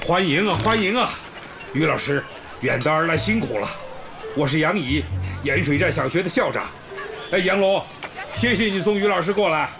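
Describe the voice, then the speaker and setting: Casting: male actor as welcoming, apologetic rural school principal for radio drama, very animated melody, music underneath. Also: fairly high pitch, moderate pace. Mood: hearty welcoming. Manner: courteous, welcoming. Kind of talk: Chinese radio drama acting. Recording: music bed behind the voice.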